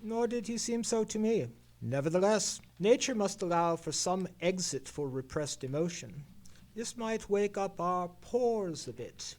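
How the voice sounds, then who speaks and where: Victorian detective, slightly high voice, confident, formal, precise, theatrical, radio mystery. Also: male voice, animated, measured pace, a little mellow. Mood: assured, brisk. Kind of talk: old-time radio mystery play, performed live.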